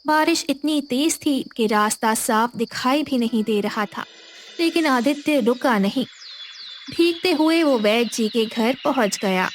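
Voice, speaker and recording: A woman's voice: high-pitched, animated, unhurried, slight background noise. A woman narrating a scripted moral tale as a storyteller.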